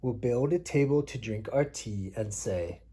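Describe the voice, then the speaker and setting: A man reading picture books aloud as a father at bedtime, playfully pausing to chat with his child. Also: medium pitch, mellow, slightly rough. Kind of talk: read-aloud picture book storytelling mixed with casual chat.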